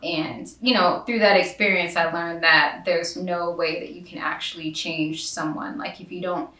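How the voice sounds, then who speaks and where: A woman's voice: fairly low pitch, steady tone, moderate pace, sharp tone. A woman in her late twenties speaking candidly and thoughtfully in a documentary interview. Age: late 20s. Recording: distant mic in an echoey room.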